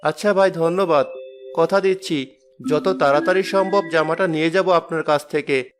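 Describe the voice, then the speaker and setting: A humble, gentle village father in a cartoon, voiced by a man with a slightly high voice. He speaks politely and softly, with music under him. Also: moderate pace, lightly acted. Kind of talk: cartoon voice acting. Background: background music.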